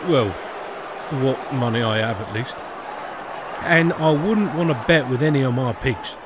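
An actor playing a chummy but slyly threatening peasant in a comic fantasy audio drama. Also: male voice, medium pitch, lively intonation, unhurried, close to an everyday voice. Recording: noisy outdoor ambience.

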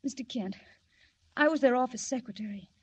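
A woman's voice: on the high side, brisk, a little mellow, husky, lo-fi sound. A woman playing a nervous but defiant young secretary in a 1940s radio drama, emphatic and melodramatic. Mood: nervous, urgent.

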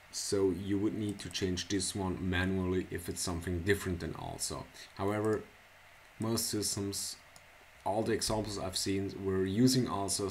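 A man in his thirties from Switzerland, a native Swiss German speaker, walking through a software setup in an unhurried, halting way with many fillers and restarts. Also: low-pitched, slight background noise. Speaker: software tutorial presenter. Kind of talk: casual screencast tutorial. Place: small indoor room at a computer.

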